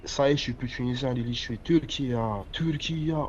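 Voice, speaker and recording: A man's voice: mid-range voice, moderate pace, a loud crowd. A young man with a Parisian accent chatting and teasing over a phone call, full of slang. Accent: Parisian French. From France. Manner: teasing, playful, lots of slang. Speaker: chat participant. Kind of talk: casual joking chat over a call. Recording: dull lo-fi call audio.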